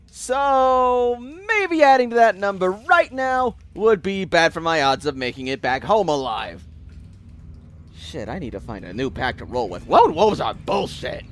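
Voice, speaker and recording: A man's voice: a little high, a bit bright, voice pushed up. A man voicing an embittered, profane werewolf loner talking to himself in an audio drama. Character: embittered, foul-mouthed male werewolf loner. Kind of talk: amateur audio drama acting.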